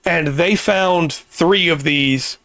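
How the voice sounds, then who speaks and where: Gravelly man explaining at length. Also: fairly high pitch, a bit bright, no room sound. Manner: explains at length, pauses and restarts. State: voice getting worn. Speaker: podcast host. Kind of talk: casual podcast explainer.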